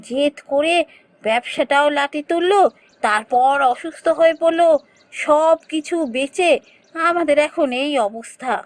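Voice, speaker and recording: A woman voicing a caring, earnest village woman in a fairy-tale cartoon, expressive and melodramatic. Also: high voice, no echo.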